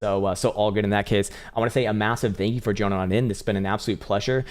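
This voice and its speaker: Low-voiced man hosting a trading stream. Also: fairly even intonation. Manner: loose and profane, reads viewer chat aloud; halting, with frequent restarts. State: voice getting strained and hoarse. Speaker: crypto trading livestream host. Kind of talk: casual live-stream chart commentary.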